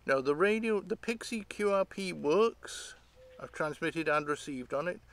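High-pitched middle-aged British man, casual. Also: animated, a little slow, fairly bright tone. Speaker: host of an electronics hobby channel. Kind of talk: casual unscripted show-and-tell. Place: outdoors by leafy trees.

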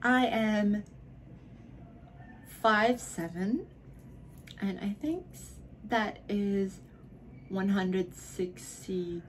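A woman's voice: mid-range voice, very slow, long pauses, slight background noise. A young woman, drunk, answering viewer questions in a casual home vlog, slurring, giggling and slipping into whispers. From Philippines. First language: Filipino (Tagalog). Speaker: vlogger. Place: bedroom at home, indoors.

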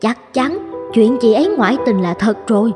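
High-pitched, polite young sister-in-law acted by a woman. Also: moderate pace, over music, close to an everyday voice. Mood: suspicious, musing. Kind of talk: Vietnamese animated drama dubbing.

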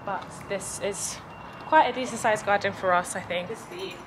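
A young woman talks through a tour of her new home in a chatty, rambling way, leaning on "like" and leaving thoughts half-finished. Her voice sits mid-height and carries clear echo from empty rooms. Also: medium pitch, moderate pace, noisy background. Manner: chatty, filler-heavy, trailing off mid-thought. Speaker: vlogger. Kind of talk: casual house tour chat. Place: empty new house and garden.

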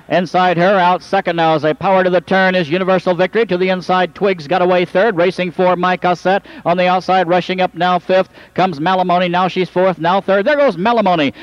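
A man with a high, bright announcing voice calls a harness race from the booth. He lists the field, then rides the race in a rapid rhythmic patter that climbs at the finish. The sound is dry and somewhat dull, like an older lo-fi broadcast. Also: no room sound.

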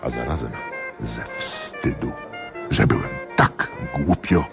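An actor in a radio drama playing a jealous husband and magistrate, confiding ruefully and emphatically to a friend. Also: male voice, rumbling low, wildly swooping pitch, slow pace, creaky, music in the background, heavily acted. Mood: relieved, sheepish. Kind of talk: theatrical radio drama monologue.

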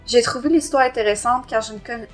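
A woman's voice: a little high, fairly quick. A woman with a Quebec French accent co-hosting a review podcast. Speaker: podcast co-host. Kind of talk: casual review discussion, partly reading aloud. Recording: music under the voice.

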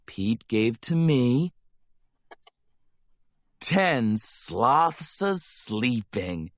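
A man reading a rhyming children's picture book aloud as narrator, with a playful, drawn-out delivery. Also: mid-range voice, fairly bright tone, no room sound, clean studio sound. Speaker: storybook narrator.

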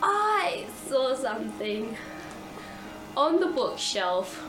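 A woman's voice: sits high, very animated, drawn-out words, reverberant, music in the background, poor audio. Sick teen girl, blocked nose. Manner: rambling, gushing, self-correcting. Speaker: vlogger. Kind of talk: casual vlog chat. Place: bedroom, indoors. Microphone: camera in front of her.

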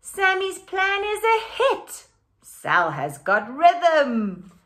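A woman's voice: very high-pitched, extremely slow. A middle-aged woman, a teacher, reading a children's story aloud expressively, with questioning asides to young pupils. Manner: expressive, exaggerated, with questions to listeners. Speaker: teacher reading to her class. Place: living room at home.

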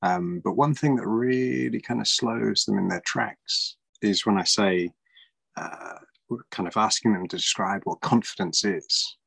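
A man's voice: fairly low pitch, measured pace, gravelly, fairly bright tone, breathy edge, no room sound, rough recording. A middle-aged man hosting a podcast over a video call, speaking thoughtfully with many fillers and restarts. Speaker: podcast host. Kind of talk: casual interview chat. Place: small room at home.

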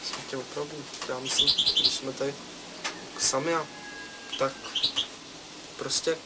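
An actor playing a shy young man who talks hesitantly and softly to his girlfriend. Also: mid-range voice, level tone, heavy background noise, lightly acted. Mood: shy tender. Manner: hesitant, mumbling, soft.

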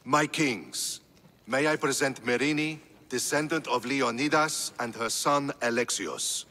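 An actor playing an earnest, loyal Spartan officer in a video game, respectful and persuasive. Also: male voice, medium pitch, measured pace, sharp tone. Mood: pleading. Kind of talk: dramatic video game acting. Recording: music behind dialogue.

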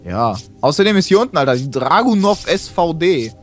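A man's voice: on the high side, very animated, brisk. A young man casually chatting and joking while playing a co-op game. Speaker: gamer. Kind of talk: casual co-op let's play chat. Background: game audio and music.

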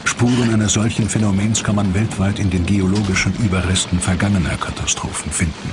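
A man's voice: lowish voice, fairly even intonation, a little fast, very noisy. A man reading a scripted documentary narration as its narrator. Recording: loud music under voice. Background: loud music.

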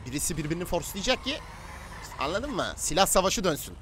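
A man's voice: high voice, big pitch swings, quick pace, a bit bright. A man chatting casually and slangily as he streams a roleplay game. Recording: clear car engine noise behind. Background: game car engines and street sounds.

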